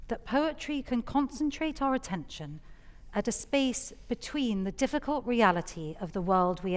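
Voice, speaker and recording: A woman hosting on stage, giving a composed, articulate scripted introduction. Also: mid-range voice. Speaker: host introducing poems. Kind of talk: scripted introduction to a live audience. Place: theatre stage.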